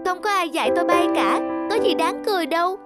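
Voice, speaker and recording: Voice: very high voice, lively intonation, fast talker. An actor voicing a shy, dejected young parrot in a cartoon dub, with music behind. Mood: dejected, defensive. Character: shy, gloomy young parrot who cannot fly. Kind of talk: cartoon dubbing. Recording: loud music under the voice.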